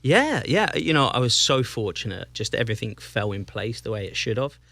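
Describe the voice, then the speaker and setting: A man from England with a Northampton-area English accent, a soccer club president chatting as a guest and often pausing and restarting. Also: medium pitch, sing-song, vocal fry, sharp tone, no room sound. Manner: easygoing, halting with restarts and fillers. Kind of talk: casual interview chat.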